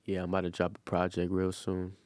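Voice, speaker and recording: A man's voice: deep voice, monotone, fast talker, darkish tone, no room sound. A man, a rapper talking as a guest in a casual interview, rambling loosely with lots of slang and "you know what I'm saying.". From Brooklyn, New York. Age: 20s.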